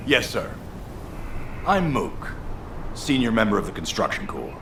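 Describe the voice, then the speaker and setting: A man's voice: on the low side, very animated, a little slow, sharp tone, exaggerated voice. A man voicing a friendly, eager construction corps veteran in a video game, chatty and earnest, in a roomy, noisy recording. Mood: polite, eager.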